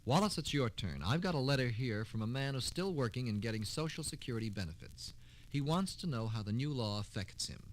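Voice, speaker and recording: A man's voice: medium pitch, animated, brisk, rough recording. A man hosting a 1960s public service radio programme with a polished, scripted delivery. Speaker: radio host.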